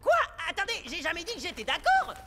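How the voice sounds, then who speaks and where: A woman voicing a wry, scheming pre-teen amoeba schoolboy in a French cartoon, with an animated, persuasive manner. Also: very high voice, fast talker, heavy background noise, exaggerated voice. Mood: alarmed. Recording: loud cartoon music underneath.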